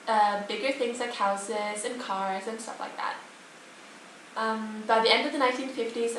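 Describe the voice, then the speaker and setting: A woman's voice: on the high side, a bit bright. A young woman gives a semi-scripted presentation as a student co-presenter of a mock TV broadcast. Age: teenager or young adult. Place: echoey indoor room. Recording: very echoey room, distant mic, faint noise.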